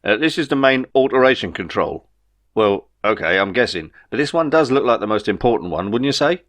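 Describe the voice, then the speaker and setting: A man's voice: medium pitch, lively intonation, fairly quick, a bit bright, natural voice. A man voicing an easygoing, know-it-all spaceship pilot in an audiobook, chatty and reassuring. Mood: thoughtful, cautious. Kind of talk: audiobook character dialogue.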